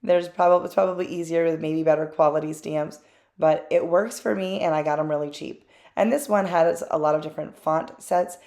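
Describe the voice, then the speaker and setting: A chatty woman with a slightly low voice narrates her own craft project as a voice-over. She talks candidly, drifting into small asides and filling pauses with ums. The tone is loose and unscripted, like a host talking to regular viewers. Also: steady tone, a little mellow.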